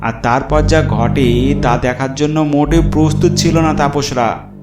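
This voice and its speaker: A man's voice: on the high side, steady tone, a little slow. A man narrating a scripted horror story in a dramatic storytelling style. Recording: roomy echo, loud music. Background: loud background music.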